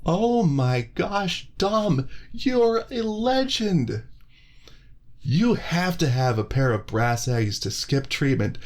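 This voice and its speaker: A man voicing an excited adult woman werewolf who gushes with admiration. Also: on the high side, takes it slowly, pitched above the performer's own voice. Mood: gushing. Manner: gushing, excited exclamations.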